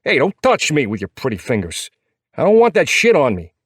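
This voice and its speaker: A man acts out a pint-sized, hot-headed male biker from an audiobook. He has a thick New York accent, pitched up and husky, and snaps with combative attitude. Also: wildly swooping pitch, fairly quick, no room sound, studio quality, full character voice. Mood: indignant.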